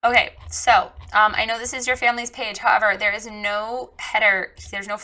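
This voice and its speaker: Woman reviewer, hoarse and froggy, bright forceful delivery, candid coaching tone. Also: medium pitch, level tone, moderate pace, poor audio. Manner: chatty, frank, encouraging with filler ums. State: hoarse, recovering from lost voice. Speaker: website reviewer giving feedback. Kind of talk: casual screen-share critique.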